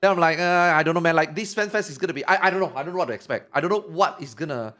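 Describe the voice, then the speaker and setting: A man in his forties, a streamer, talking fast and excitedly in a casual podcast chat, often repeating himself. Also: fairly high pitch, soft background music. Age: 40s.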